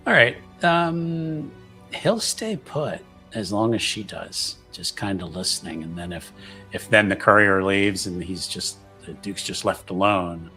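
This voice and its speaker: A man's voice: deep voice, expressive, measured pace, pushed and bright, faint music behind. A man taking part in a tabletop roleplaying game as a player, casually describing what his character does.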